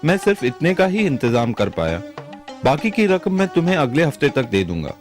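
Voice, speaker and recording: A man playing a kind, honest moneylender in a moral-story cartoon, speaking in a gentle, earnest way. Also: a little high, big pitch swings, a little fast, soft background music. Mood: friendly, helpful. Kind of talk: animated moral-story dubbing.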